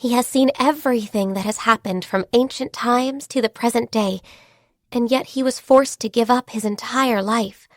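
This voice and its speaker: An actress playing a gentle, diligent, shy and youthful half-beast secretary in a video game, speaking politely and earnestly. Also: female voice, a little high, normal speed, no echo, clean studio sound, natural voice. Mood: earnest, formal. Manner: polite, earnest, hesitant when flustered. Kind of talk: video game character voice lines.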